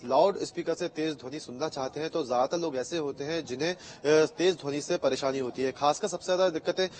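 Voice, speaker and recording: Halting Indian male reporter, highish voice. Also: a little mellow. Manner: halting, with very frequent filled pauses. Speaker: news reporter. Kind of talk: unscripted live news report.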